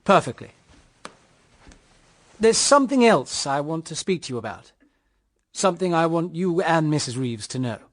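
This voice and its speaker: An actor playing a frank, ardent, well-bred suitor in a period radio drama, forthright and earnest with old-fashioned slang. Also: male voice, fairly high pitch, big pitch swings, normal speed, pauses often. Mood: businesslike.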